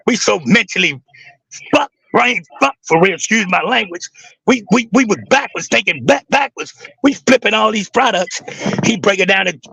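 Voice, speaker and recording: A man with a raspy voice who talks intensely and with emphasis, swearing freely in a casual livestream chat. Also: high voice, pushed and bright, dry recording, poor audio. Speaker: guest on a live stream.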